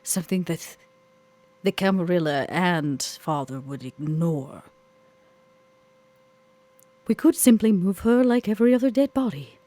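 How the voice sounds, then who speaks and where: A woman playing a poised, calculating vampire lady in a role-play podcast, speaking formally and dryly with a husky tone. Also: fairly low pitch, expressive, dark tone, dry recording. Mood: cool, dry. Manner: formal, measured, dry. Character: poised, disdainful, high-heeled vampire woman, coolly calculating. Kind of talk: in-character tabletop role-play.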